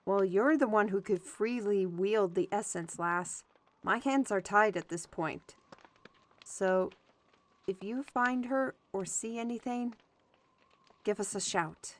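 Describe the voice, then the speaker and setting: A courteous and steady fantasy character, acted by a woman, talks slowly in a mid-range voice. The manner is measured and formal, in audio-drama style. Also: medium pitch, lightly acted. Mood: practical, resigned. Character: courteous, steady searcher pledging honor, folksy turns of phrase.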